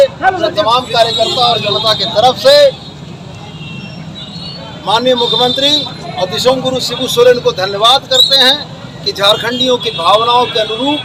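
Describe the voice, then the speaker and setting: Male local politician, very high-pitched, addressing an outdoor gathering over heavy crowd and street noise. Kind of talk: public political speech. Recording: noisy outdoor crowd and traffic.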